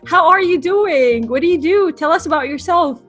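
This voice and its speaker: A woman's voice: extremely high pitch, lively intonation, a bit bright, soft background music. A woman hosting her interior design channel, talking casually and upbeat with a guest and laughing often. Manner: upbeat, chatty, quick to laugh.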